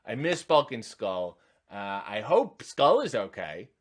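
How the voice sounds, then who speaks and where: A man's voice: on the low side, very animated, unhurried. A man hosting a reaction video, giving unscripted running commentary in an exasperated, sarcastic, incredulous way.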